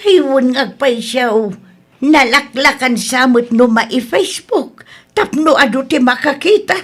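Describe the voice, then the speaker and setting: A woman playing a worried, caring old village grandmother with a husky voice in a radio drama. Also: sits high, unhurried. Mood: anxious, sympathetic. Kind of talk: radio drama acting in Ilocano.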